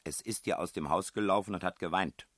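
A man voicing a quick-witted, unruffled male friend in an audiobook story, measured and politely ironic. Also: low voice, fairly bright tone, no room sound, clean studio sound, voice pushed up.

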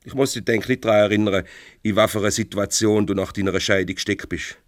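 An actor playing a stern, paternal older boss in a radio play, reproachful and patronising, with a Swiss German accent. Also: male voice, lowish voice, steady tone, fast, no room sound, clean studio sound, close to an everyday voice.

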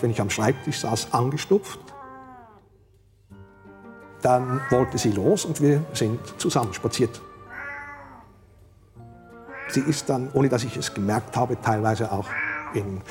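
A man of medium pitch recounts a personal memory reflectively. His voice sits in a long reverb with clear music behind it.

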